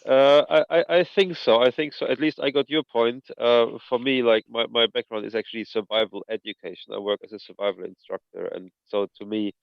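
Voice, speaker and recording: A man, a survival instructor joining an online seminar, speaking haltingly and repeating words. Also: mid-range voice, steady tone, gravelly, dry recording, highs cut off. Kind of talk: casual discussion.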